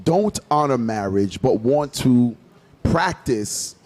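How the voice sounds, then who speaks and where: A man's voice: medium pitch, animated, slow, a little mellow. Playful, teasing man hosting a radio show. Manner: playful, teasing, emphatic when preaching. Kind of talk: casual joking chat with scripture talk.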